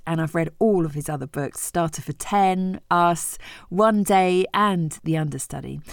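Creaky, low-pitched woman. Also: dry recording, clean studio sound. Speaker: radio presenter recommending books. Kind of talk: personal book review.

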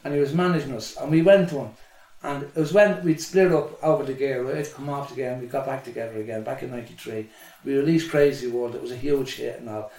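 A man's voice: medium pitch, fairly even intonation, fast, hardly any pauses, darkish tone. A middle-aged man, a musician guest, telling stories in a rambling, casual way that is full of swearing and "do you know what I mean". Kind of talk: casual podcast storytelling. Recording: echoey room, voice a bit far from mic.

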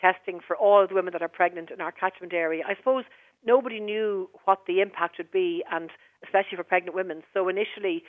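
An Irish woman, a hospital director of midwifery, speaks briskly and reassuringly in a radio interview. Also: fairly low pitch, no room sound. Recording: tinny phone line.

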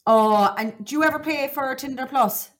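A woman's voice: high-pitched, moderate pace. An Irish woman with a Cork accent co-hosting a podcast in a casual, gossipy, confiding way. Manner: chatty, colloquial, confiding. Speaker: podcast co-host.

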